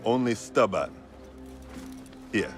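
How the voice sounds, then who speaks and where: A man's voice: fairly low pitch, slow. A man playing a proud, stubborn rebel fighter in a video game cutscene, blunt and wry. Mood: resolute. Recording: faint cinematic music behind.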